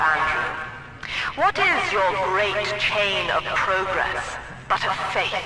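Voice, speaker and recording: An actress playing a cold, lofty middle-aged British cult leader and psychiatrist in a video game, with measured, formal, clinical preaching. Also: female voice, medium pitch, very noisy. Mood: stern, commanding. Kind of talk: scripted video game villain monologue.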